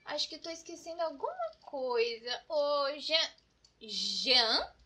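A woman with a Brazilian accent voicing an excitable, scatterbrained best friend in a children's comedy cartoon, dramatic and full of exclamations. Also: sits high, slow, full character voice. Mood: fretting. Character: excitable, scatterbrained, over-prepared young female best friend.

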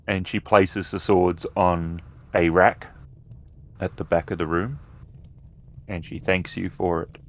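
Low-voiced man running a game. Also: fairly even intonation, slow pace, pauses often. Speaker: game master and storyteller. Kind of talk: improvised tabletop game narration.

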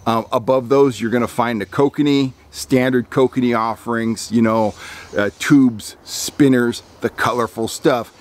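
A man's voice: medium pitch, a little slow. A man in his fifties from California, a fishing guide hosting a casual outdoor fishing report to camera, rambling with lots of ums and restarts. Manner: rambling, frequent ums and restarts, lively on recommendations. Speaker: fishing guide and channel host. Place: outdoor deck among pine trees.

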